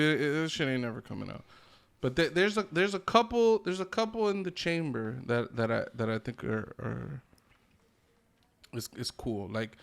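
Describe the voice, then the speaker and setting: A man's voice: a little high, a bit bright, clean tone. Slangy, enthusiastic man chatting casually. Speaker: podcast co-host. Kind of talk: casual group chat about video game movies.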